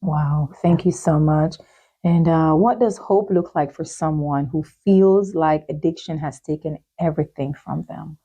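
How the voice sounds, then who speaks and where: A woman's voice: sits low, a little slow, warm tone, husky, lo-fi sound. A woman hosting a talk show and podcast, interviewing and presenting to listeners. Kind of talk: interviewing and presenting sponsor messages.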